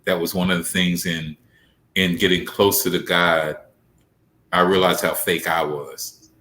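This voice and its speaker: A man's voice: low voice, level tone, unhurried, pushed and bright. A man giving an earnest, confessional personal testimony as a guest in a group interview. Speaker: stage play cast or crew guest. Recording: roomy echo.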